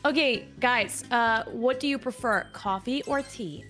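Bright-voiced woman streamer, bubbly. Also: fairly high pitch, measured pace, soft background music. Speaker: streamer playing with friends. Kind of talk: casual gaming chat.

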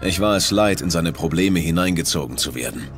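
A man's voice: sits low, animated, a little fast. A man playing a stern, weary older mentor who gives exasperated testimony in a scripted true-crime dramatization. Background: music.

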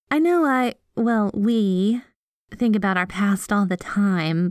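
A woman's voice: medium pitch, no echo, studio quality, natural voice. A woman playing a sweet, obsessively devoted yandere girlfriend in a scripted ASMR roleplay, gushing and bashful as she speaks to the listener. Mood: fond, teasing. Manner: gushing, giggly, teasing, bashful asides.

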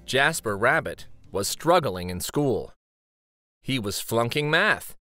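A man reading a children's story aloud dramatically, with theatrical, suspenseful pauses. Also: medium pitch, very animated, pushed and bright, soft background music.

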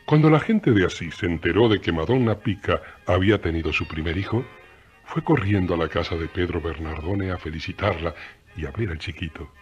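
A man's voice: deep voice, brisk, lo-fi sound, soft background music, natural voice. A man narrating a radio drama as a scripted storyteller.

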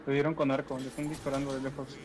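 A man's voice: mid-range voice, little pitch movement, racing, noisy background. A man making terse, urgent tactical callouts to his team while playing a shooter game. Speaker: gamer streamer. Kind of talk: quick team callouts during play.

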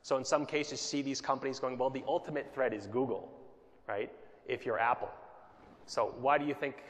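Keynote speaker in a reverberant hall. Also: male voice, medium pitch, steady tone, moderate pace. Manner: conversational, checks in with "right?" often. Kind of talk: conference keynote talk. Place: conference hall.

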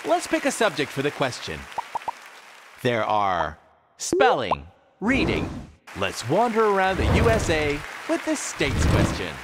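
An upbeat cartoon game show host for a video game. His pitch is fairly high and leaps dramatically, with slow, emphatic delivery. The voice rings through a large hall. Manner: theatrical, emphatic announcing. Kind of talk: scripted quiz show presenting. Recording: large hall reverb, faint crowd noise.

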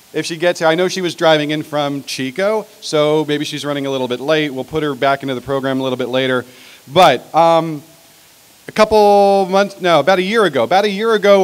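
A middle-aged American man hosting an event, giving an informal introduction into a podium microphone outdoors. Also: fairly high pitch. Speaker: event host. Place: outdoor podium on building steps. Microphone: stand microphone at podium.

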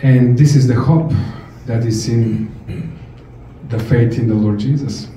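Middle-aged Bulgarian-accented man, dark mellow tone, animated memorial talk, heard from across a reverberant hall. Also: medium pitch, unhurried. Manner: animated, gesturing, laughing storyteller. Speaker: eulogy speaker, missionary. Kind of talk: memorial speech. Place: large event hall, indoors. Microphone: handheld mic through room speakers. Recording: far-off echoey hall, faint noise.

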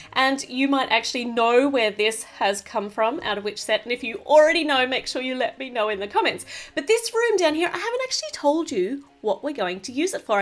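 High, lively chatty woman. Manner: cheerful, chatty, talks to viewers. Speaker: craft channel host. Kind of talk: chatty build narration.

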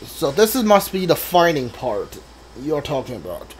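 A man streams a video game with casual, unscripted commentary. His voice sits a little high, and he talks hesitantly, thinking aloud as he goes. Faint game ambience runs behind him. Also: big pitch swings, normal speed, slight background noise. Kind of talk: casual live playthrough commentary.

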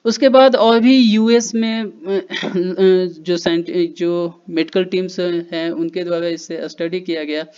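High-voiced man explaining over slides. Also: steady tone, slow pace, darkish tone, clear, resonant tone. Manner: loose conversational explaining with fillers and restarts. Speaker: educational channel presenter. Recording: dull lo-fi voice-over.

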